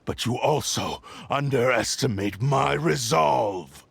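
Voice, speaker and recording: A gravelly, haughty cursed sword. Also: male voice, mid-range voice, fairly bright tone, full character voice. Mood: menacing. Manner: haughty, slow, commanding. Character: sentient cursed sword, male, proud, menacing. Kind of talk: game cutscene voice acting.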